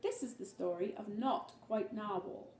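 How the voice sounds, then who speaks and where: A woman reading a picture book aloud to young children in an expressive, deliberate way, drawing out words for emphasis, recorded in a living room. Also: mid-range voice. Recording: echoey living room, faint background noise.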